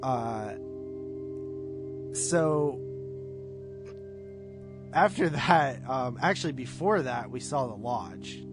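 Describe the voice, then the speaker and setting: A man co-hosting a movie podcast, giving his opinions on films in a casual, halting way. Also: mid-range voice, expressive, over music. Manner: halting, filler-heavy opinions. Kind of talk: casual film discussion.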